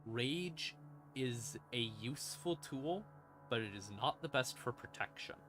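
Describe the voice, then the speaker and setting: Slow-paced man with a bright, forceful voice. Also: medium pitch, expressive. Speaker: streamer explaining game lore. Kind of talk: informal lore explainer, storytelling to stream viewers. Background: eerie ambient music.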